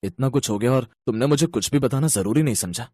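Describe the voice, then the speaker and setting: A man's voice: mid-range voice, quick pace, a little mellow, a little hiss or hum, natural voice. A man voicing a kind, devoted young bus driver in a moral story, sincere, polite and encouraging. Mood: concerned.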